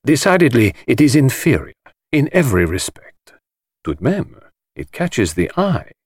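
A man's voice: medium pitch, very animated, moderate pace, breathy edge, no room sound, clean studio sound, pitched above the performer's own voice. A man playing a courteous, vain older foreign detective in an audiobook, speaking with precise, formal politeness. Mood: self-satisfied. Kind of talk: audiobook character voice.

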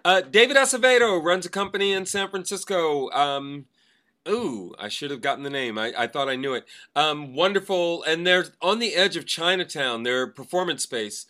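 A man's voice: on the high side, animated, normal speed, sharp tone. A man co-hosting a podcast in a casual, conversational way. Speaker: podcast co-host, actor.

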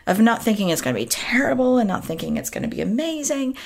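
Croaky-voiced chatty woman podcast host. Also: on the high side, sing-song, fast. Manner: chatty, self-interrupting, laughs at herself. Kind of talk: casual solo podcast talk.

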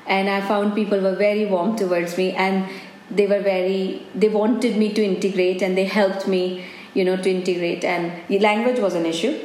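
A businesswoman from India, with an Indian accent and a middle-pitched voice, answers an interviewer earnestly and thoughtfully. The room sounds roomy, with a faint hum of ambience behind her. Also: a little mellow. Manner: earnest, reflective, with frequent "you know". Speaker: business owner and guest.

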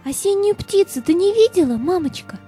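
A very high, soft-toned little girl, acted by a woman, tender and full of childlike wonder. She speaks in a fairy-tale audio play with faint music behind her. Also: lively intonation, normal speed. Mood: adoring.

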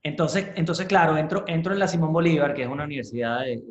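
A man from Caracas with a Venezuelan accent, telling his story in a casual interview, haltingly, repeating words and slipping in English ones. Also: on the high side, steady tone. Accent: Venezuelan Spanish. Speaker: interview guest, business professional. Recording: roomy echo, slightly dull lo-fi sound.

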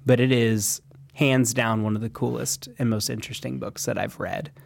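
A man's voice: medium pitch, fairly even intonation, gravelly, dry recording. Rambling male co-host, thirties, dry close recording. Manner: rambling, halting with fillers. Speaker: radio show co-host. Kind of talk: casual chat.

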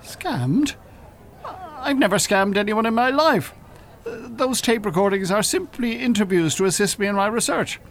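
A man's voice: high voice, animated, measured pace, fairly bright tone, full character voice. A man playing a pompous, evasive Irish hypnotist and con artist in an audio drama, speaking formally. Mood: flustered, indignant.

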